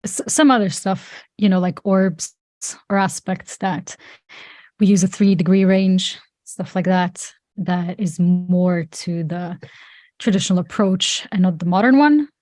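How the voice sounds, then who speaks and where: Low-voiced Swiss-woman hosting a podcast. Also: darkish tone, dry recording. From German-speaking Switzerland. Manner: measured, hesitant, with frequent fillers. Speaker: podcast host. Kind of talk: casual podcast chat.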